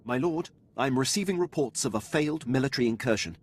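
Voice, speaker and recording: An actor playing a dutiful, loyal military officer in a video game, speaking formally and with precise, clipped diction. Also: male voice, medium pitch, expressive, fast talker, slight background noise, close to an everyday voice. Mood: businesslike, urgent. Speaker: starship captain serving a lord.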